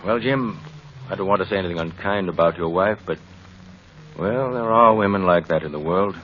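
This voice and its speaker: An actor playing a frank family doctor giving advice in a deliberate, measured way, old-time radio drama style. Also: male voice, low-pitched, a little hiss or hum, lightly acted. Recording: dull vintage radio recording.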